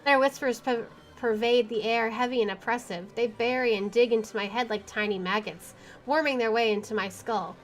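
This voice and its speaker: A woman's voice: a little high, steady tone, moderate pace, faint music behind. A woman streamer reading visual novel narration aloud, expressively, with casual chatty asides. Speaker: video game streamer.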